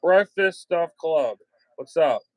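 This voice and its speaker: A man's voice: on the high side, lively intonation, slow pace, no room sound. A man hosting a casual hobby livestream, talking in a blunt, slangy way with some swearing. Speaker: livestream host and ham radio hobbyist.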